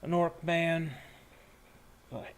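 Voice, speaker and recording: A man as a tabletop warrior leader, slow pace, understated, grudgingly respectful, faint background noise. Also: a little high, fairly even intonation. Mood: measured, guarded.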